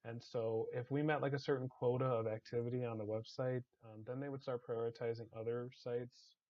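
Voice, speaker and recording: A man hosting a casual online community discussion, hesitant with many ums and restarts, his voice slightly raspy. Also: medium pitch, steady tone, a little fast, lo-fi sound. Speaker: community staff host.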